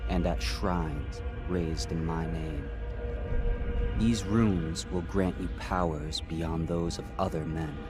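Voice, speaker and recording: An actor playing a calm, enigmatic supernatural being from a video game, speaking in a measured, solemn, cryptic way. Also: male voice, deep voice. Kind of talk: scripted video game monologue. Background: music.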